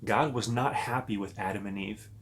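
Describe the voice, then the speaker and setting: A man reading a children's story aloud as a pastor, pausing to talk directly and expressively to young listeners. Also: fairly low pitch.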